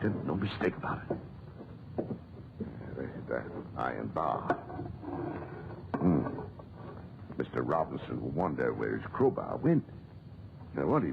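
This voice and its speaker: An actor playing a stubborn amateur antiquarian who mutters theatrically to himself in a 1940s radio drama. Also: male voice, very deep voice, gravelly, exaggerated voice. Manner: theatrical muttering with tag questions and repeats. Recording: muffled, very noisy old 1940s radio recording.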